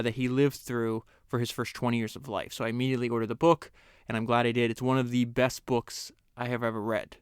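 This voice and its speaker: Smooth-voiced man reading, earnest and measured. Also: mid-range voice, dry recording, clean studio sound. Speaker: podcast host. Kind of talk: reading a book excerpt aloud and commenting on it.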